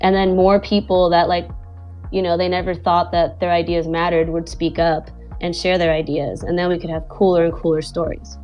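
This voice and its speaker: A woman's voice: fairly low pitch, level tone, normal speed, dull audio, soft background music. A woman from Venezuela, a guest animator and director, talking casually and rambling with lots of "like" and "you know". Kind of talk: casual chat in an online audio space.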